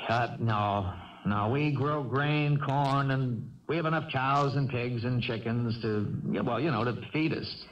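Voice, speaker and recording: A man's voice: mid-range voice, measured pace, natural voice. An actor playing a polite, naive American farm boy in a radio drama, plain-spoken, hesitant and wide-eyed. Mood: polite, unsure. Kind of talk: 1970s radio drama acting. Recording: dull, distant old radio recording.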